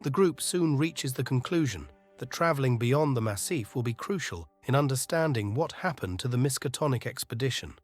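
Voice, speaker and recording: Mid-pitched man narrating an audio drama. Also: expressive, moderate pace, hardly any pauses, faint music behind. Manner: literary, atmospheric storytelling.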